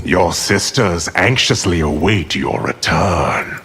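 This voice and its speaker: An actor playing an arrogant, scheming evil sorcerer in a video game, with a haughty, menacing, theatrical delivery. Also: male voice, sits low, animated, slow pace, fairly bright tone, full character voice. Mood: scornful. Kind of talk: video game villain dialogue. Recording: echoing hall reverb over music.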